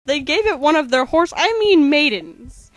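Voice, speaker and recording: A woman's voice: high-pitched, very animated, normal speed, dry recording. A woman co-narrating a fan-made comic parody in a slangy, mock-dramatic storytelling style.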